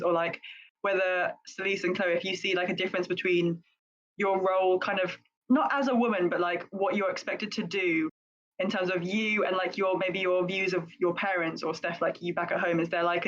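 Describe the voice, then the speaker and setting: Woman co-host, rambling, filler-heavy chat, slightly dull audio. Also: on the low side. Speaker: podcast co-host. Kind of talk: casual panel chat.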